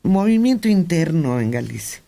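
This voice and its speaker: A Mexican man speaking on a radio panel, explaining points in a measured way. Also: fairly high pitch, very animated, vocal fry, warm tone. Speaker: radio host.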